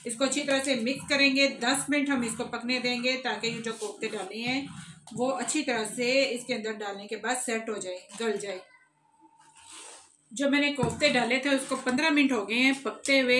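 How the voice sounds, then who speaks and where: A woman giving calm, step-by-step cooking instructions in a home kitchen, talking directly to her viewers while she cooks. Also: fairly high pitch, slight background noise. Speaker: cooking channel host. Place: home kitchen, off camera over a pot.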